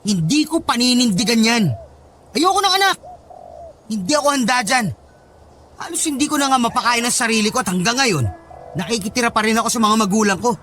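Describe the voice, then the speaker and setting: A man's voice: extremely high pitch, expressive, fairly quick, pushed and bright, full character voice. A man playing a callous young boyfriend in a Filipino radio drama, curt, harsh and dismissive. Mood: defensive, cold. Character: callous young boyfriend refusing responsibility for pregnancy. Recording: dramatic music under the voice.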